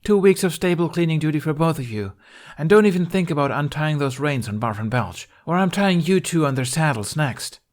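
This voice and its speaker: A man voicing a brisk, commanding young warrior woman with a teasing edge in a fantasy story. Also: fairly high pitch, animated, pitched above the performer's own voice, natural voice. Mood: bossy.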